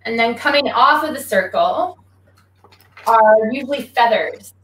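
A young woman giving a relaxed, chatty drawing lesson, explaining each step while she draws at home, speaking away from a laptop mic. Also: fairly high pitch, a little slow, roomy sound, a little hiss or hum, low-quality recording. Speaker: guest athlete teaching drawing. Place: small home room. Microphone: laptop mic from across the room, facing away.

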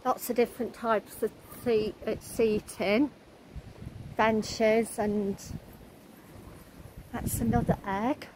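A woman vlogger talking through a museum and garden on a walking tour, halting with many fillers. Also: a little high, steady tone, roomy sound, background noise. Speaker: travel vlogger. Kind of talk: casual walking tour commentary. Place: indoor museum and outdoor garden.

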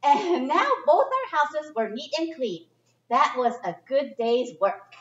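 A middle-aged woman doing a chatty, expressive children's read-aloud with informal asides, speaking in simple phrases for young listeners. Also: high voice, slow. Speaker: storyteller reading a picture book to children. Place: indoor library children's area. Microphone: distant camera microphone. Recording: echoey room, distant muffled camera sound.

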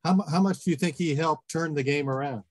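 A man's voice: fairly high pitch, fast, dry recording. An older male reporter asking polite, hesitant questions on a video call. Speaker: sports reporter. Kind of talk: press conference question.

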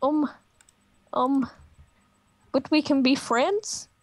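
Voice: high voice, drawn-out words. A young-sounding performer playing a naive, oddball prank caller, silly and mock-innocent with abrupt turns in an improvised call. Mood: eager, awkward. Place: at a computer on a group video call.

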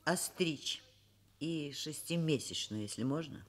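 A woman's voice: sits low, animated, unhurried. An actress playing a wry, educated middle-aged woman professor in a radio drama, both narrating and talking in an ironic, articulate, literary manner. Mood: wry, brisk.

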